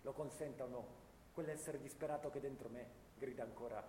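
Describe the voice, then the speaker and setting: An actor playing a grieving, war-weary young soldier in a solemn, measured theatrical monologue. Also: male voice, medium pitch, steady tone, mellow, natural voice. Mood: resigned, defiant. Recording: echoey stage hall, faint noise.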